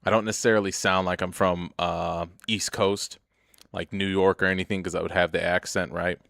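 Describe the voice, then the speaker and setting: Young guy gaming stream, low gravelly voice, rambles n swears a lot, dry close mic. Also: little pitch movement, moderate pace, a bit bright, no room sound. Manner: rambling, profane, chatty, often laughs. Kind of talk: casual gameplay commentary.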